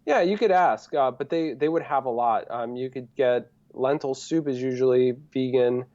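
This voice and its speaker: A man raised in the United States with Greek as his first language at home, chatting easily and at length as a guest on a live stream. His voice sits at an ordinary pitch for a man. The audio is slightly dull and lo-fi. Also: normal speed. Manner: relaxed, rambling, laughs easily. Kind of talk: casual live-stream chat.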